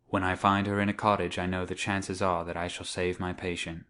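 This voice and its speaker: A man playing a nervous, cautious middle-aged doctor in an audiobook dramatic reading, speaking in a measured, formal way. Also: low voice, little pitch movement, a bit bright, clean tone. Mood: thoughtful.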